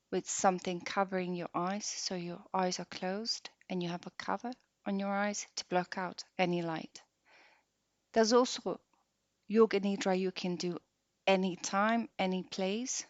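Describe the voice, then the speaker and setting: A woman guiding a meditation practice softly and unhurriedly, leaving long pauses between short phrases. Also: mid-range voice, no room sound. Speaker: yoga nidra meditation guide. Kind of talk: slow guided meditation. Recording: dull lo-fi close dry recording.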